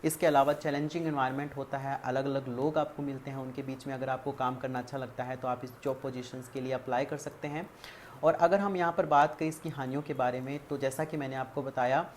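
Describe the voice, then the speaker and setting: A woman's voice: very deep voice, fairly even intonation, a little fast, darkish tone. An Indian woman presenting a career guidance tutorial, explaining methodically point by point and mixing in English terms. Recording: clearly audible background ambient noise.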